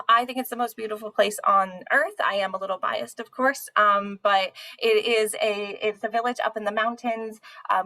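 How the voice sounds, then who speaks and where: A woman's voice: mid-range voice, vocal fry, bright, forceful tone. A young adult woman giving an informal academic talk as a linguist, explaining things in a conversational way with frequent asides. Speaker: linguist giving a panel presentation.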